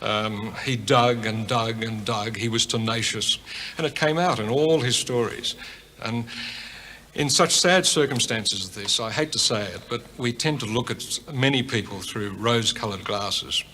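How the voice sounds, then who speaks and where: A man's voice: medium pitch, unhurried, pushed and bright, a little hiss or hum, poor audio. A man giving an emphatic interview tribute, building points through repetition. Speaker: interviewee, television presenter.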